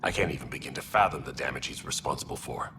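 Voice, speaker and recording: An actor playing a stern alien security chief in a video game, measured and dry, with a gravelly voice. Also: male voice, expressive, noisy background. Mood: stern disapproval. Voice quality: deep, gravelly.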